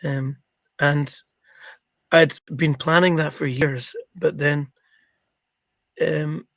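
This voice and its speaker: A middle-aged man with a croaky, gravelly voice, chatting as an interview guest and rambling with many ums and restarts. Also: fairly high pitch, level tone, drawn-out words, a bit bright, no echo. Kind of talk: casual interview chat.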